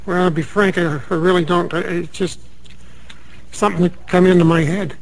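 An actor playing a meek, dreamy American night clerk in a radio drama, earnest and naive in manner, star-struck by gamblers. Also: male voice, sits high, fairly even intonation, moderate pace, rough recording. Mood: sheepish musing.

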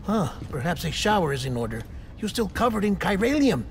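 An actor playing a composed, polite, dryly bureaucratic colleague in a video game, speaking in a measured, formal way. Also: male voice, high voice, big pitch swings, a little hiss or hum. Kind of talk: video game cutscene acting.

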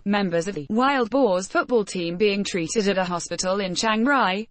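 A woman reading a news report in a steady, even voice-over. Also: medium pitch, no echo. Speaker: news reader. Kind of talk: read-out news narration.

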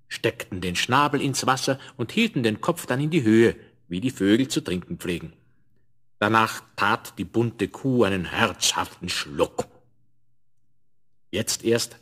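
A man narrating a scripted fairy tale in a measured, expressive storytelling manner. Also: on the low side, slightly rough. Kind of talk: scripted fairy-tale narration, 1970s German radio play.

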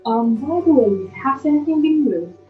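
A woman's voice: high voice, lively intonation, moderate pace, far from the mic, faint music behind. A young woman playing a county attorney questioning a witness, with hesitant delivery in an amateur student play. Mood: inquiring. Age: young adult. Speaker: student actor in a class play. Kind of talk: amateur stage-play acting. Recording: muffled, echoey home recording.

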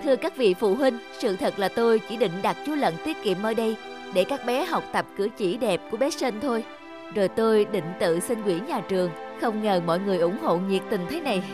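A woman's voice: medium pitch, animated, a little fast, natural voice. A kindly, appreciative schoolteacher in a children's cartoon, acted by a woman. She speaks formally and encouragingly over prominent music. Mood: grateful. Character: kind, appreciative female schoolteacher. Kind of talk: cartoon voice acting. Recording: music bed under the voice.

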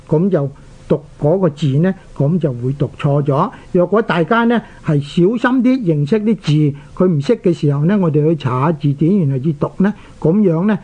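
Radio language host, Hong Kong Cantonese accent. Mid-pitched, instructive and deliberate delivery, on a dull, lo-fi broadcast recording. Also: mellow. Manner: didactic, deliberate, spelling out characters. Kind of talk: explanatory talk on Chinese characters.

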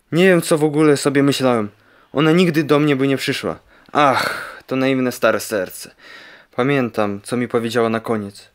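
A man's voice: mid-range voice, lightly acted. A young man playing a lovesick, desperate and proud sailor in a radio play. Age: young adult. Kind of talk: amateur radio play acting.

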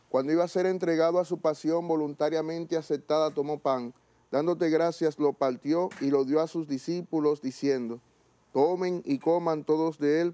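Solemn priest with a mellow voice. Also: male voice, fairly high pitch. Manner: solemn, measured liturgical recitation. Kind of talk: reciting eucharistic prayer at mass. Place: small chapel studio, indoors.

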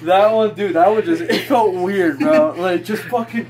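A man's voice: sits high, measured pace, a crowd in the background. A young man who is an amateur boxer, in casual, sweary, giggly banter. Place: basement used as makeshift boxing gym. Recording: noisy basement, mic clicks.

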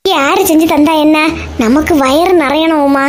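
Woman acting a food-loving housewife, high voice, Kanyakumari Tamil accent, animated, complaining, comic cartoon. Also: moderate pace, slight background noise, lightly acted. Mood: greedy excitement. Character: food-obsessed, blunt, grumbling village housewife.

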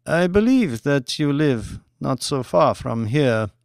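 A man playing a slow, stately Saudi sheikh. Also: mid-range voice, sing-song, gravelly, dry recording, clean studio sound, heavily acted. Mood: probing, formal. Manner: formal, measured, deliberate. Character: wealthy, powerful Saudi sheikh, courteous and subtly menacing.

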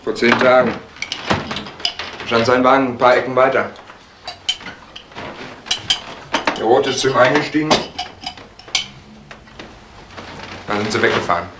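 A man's voice: mid-range voice, steady tone, quick pace, close to an everyday voice. An actor playing a streetwise young man in a German crime radio play, blunt, gossipy and out for money, in clipped colloquial phrases. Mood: terse. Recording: noisy old recording with clicks and clatter.

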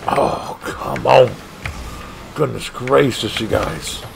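A man streaming a car soccer game, commentating his own play with excited outbursts and frustration at near misses. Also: mid-range voice, slow pace, vocal fry, slight background noise. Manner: animated, exclaiming, frustrated at missed shots. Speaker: gaming streamer. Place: home gaming room.

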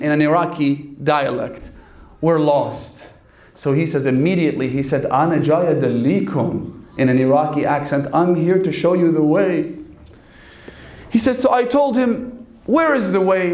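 A man in his thirties preaching a sermon at a stand mic, telling a story with lively gestures and dropping his voice for quoted speech. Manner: animated storytelling, quoting in a lowered voice. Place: hall with red banner. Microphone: stand mic in front. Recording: echoey hall.

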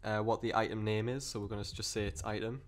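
A man with a cold and a croaky voice, explaining code step by step in a rambling way with many fillers and restarts. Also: on the low side, level tone, quick pace. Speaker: programming tutor. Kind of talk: screen-recorded coding tutorial.

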